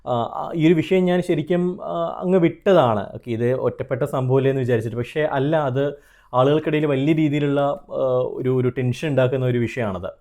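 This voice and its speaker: A man's voice: mid-range voice, moderate pace, dark tone. A man with a Malayali accent explaining and advising viewers directly in an earnest, conversational way, mixing in English terms. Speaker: trademark consultant and video host. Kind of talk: explanatory advice straight to viewers.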